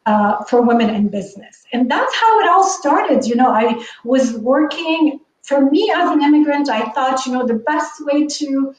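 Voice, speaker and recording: A woman's voice: high-pitched, lively intonation, moderate pace, hardly any pauses, a little hiss or hum. A woman from Lebanon in her thirties or forties, a business coach telling her story in an earnest, reflective way. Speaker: guest, online business coach. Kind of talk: conversational podcast interview. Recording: echoey, distant, dull sound.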